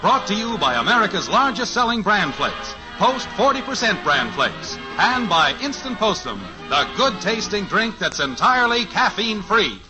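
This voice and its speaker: Male announcer, old-time radio, measured and smooth, lively pitch, music underneath. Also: rough recording. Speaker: announcer and narrator. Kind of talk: 1950s radio announcing and scripted narration.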